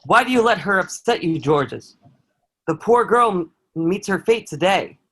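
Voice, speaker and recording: A man's voice: high-pitched, lively intonation, unhurried, fairly bright tone, heavily acted. A man playing a protective, steadfast soldier and loyal friend in a historical play reading, speaking earnestly in formal period phrasing. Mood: firm, protective. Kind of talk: dramatic table read of historical play.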